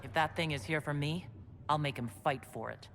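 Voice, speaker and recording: A man's voice: a little high, fairly quick, creaky, a bit bright, slight background noise. An actor playing a determined young male hero who speaks bluntly and defiantly, in a video game cutscene. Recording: distant, long hall reverb.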